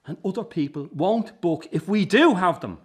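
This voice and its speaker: An actor playing a driven, frustrated middle-aged son with an Irish accent, in a naturalistic radio drama. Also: male voice, high voice, lively intonation, moderate pace, slightly rough. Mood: firm. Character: driven, frustrated son running the struggling family circus.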